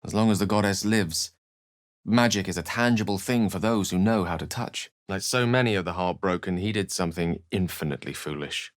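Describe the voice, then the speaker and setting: A man's voice: deep voice, brisk, lightly acted. A man voicing a learned, wry and romantic wizard in a video game, speaking in an articulate, theatrical way. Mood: earnest, grandiose, then tender, regretful. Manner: theatrical, articulate, literary phrasing. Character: learned human male wizard, eloquent, wry, romantic and dramatic. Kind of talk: video game character voice acting.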